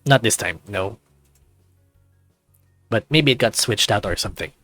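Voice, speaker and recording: A man's voice: fairly low pitch, lively intonation, a little slow, bright, forceful tone, dry recording, faint music behind, full character voice. A man voicing a goofy, laid-back teenage male furry best friend with casual, hesitant slacker phrasing in a visual novel. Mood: laid-back, joking.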